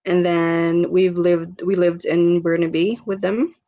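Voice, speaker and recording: A woman's voice: on the low side, fairly even intonation, slow pace, mellow, highs cut off. A woman in her twenties from the Philippines, a podcast guest chatting casually with lots of fillers and easy laughter. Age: 20s. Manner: rambling, filler-heavy, laughs easily. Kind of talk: casual chat.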